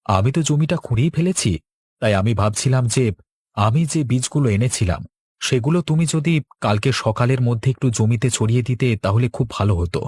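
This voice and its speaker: A man's voice: medium pitch, expressive, a little fast, a little mellow, breathy edge, dry recording, clean studio sound. A man playing an earnest, resolute young village farmer, a dutiful son, in a cartoon. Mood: resigned, dutiful. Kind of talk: Bengali cartoon dialogue.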